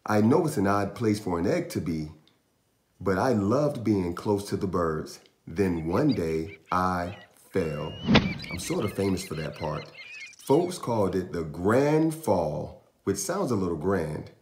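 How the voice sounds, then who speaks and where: A man in his thirties reading a picture book aloud to young children, with deliberate, dramatic storyteller pauses. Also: low-pitched, animated, darkish tone. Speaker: children's storytime host and reader. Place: small indoor room in a house. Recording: roomy echo, faint music.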